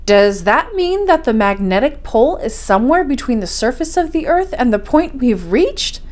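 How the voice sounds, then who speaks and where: A woman voicing a curious, amazed young nephew on an expedition in a story, questioning and excitable. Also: high-pitched, normal speed, pitched above the performer's own voice.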